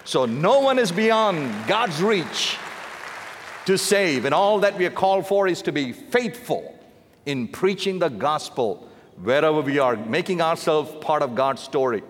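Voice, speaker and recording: A man's voice: fairly high pitch, big pitch swings, measured pace, slight background noise. Indian-accented man preaching in a big hall. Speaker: missionary preacher. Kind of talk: sermon. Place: large church sanctuary. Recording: long reverb of a big hall.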